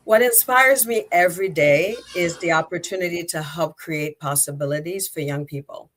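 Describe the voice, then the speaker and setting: A woman's voice: low voice, fairly bright tone. A middle-aged woman with a Haitian accent, a native Creole speaker, answering conversationally as an interview guest. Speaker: guest, nonprofit executive director. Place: remote video call.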